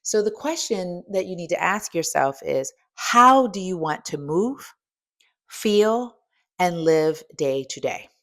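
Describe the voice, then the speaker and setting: Woman, fifties, strength coach, emphatic, direct questions, lowish voice. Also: animated, slow, a bit bright. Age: 50s. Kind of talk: motivational coaching talk.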